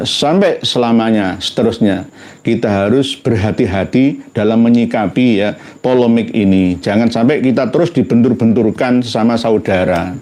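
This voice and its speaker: A man from Indonesia with a Javanese accent giving an informal religious talk in a halting, conversational way. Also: on the low side, warm tone. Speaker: religious commentator.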